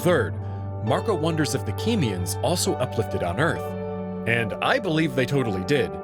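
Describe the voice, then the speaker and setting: A man delivering scripted narration and commentary in an expressive, articulate storytelling manner. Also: mid-range voice, moderate pace, loud music behind the voice. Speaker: narrator and host.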